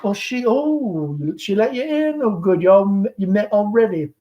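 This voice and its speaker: A man's voice: high voice, expressive, a little slow, lo-fi sound. A man voicing a chatty middle-aged Southern belle mother, genteel and fretful, with a gushing, rambling, theatrical manner, in a stage play reading. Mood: sweetly hostly.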